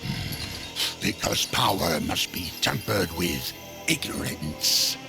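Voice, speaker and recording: Creaky, low, theatrical undead merchant. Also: male voice, sing-song, exaggerated voice. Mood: knowing, measured. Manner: unhurried, theatrical, knowing, sighing and chuckling. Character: sly undead merchant, last of a burned race. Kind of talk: video game cutscene acting. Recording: loud music under the voice.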